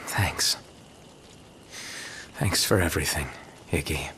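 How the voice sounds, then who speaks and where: An actor playing a resolute young prince in a fantasy game, plainspoken and earnest. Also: male voice, rumbling low, slow, whispered. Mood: wistful, grateful. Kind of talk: dramatic video game voice acting. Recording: faint background ambience noise.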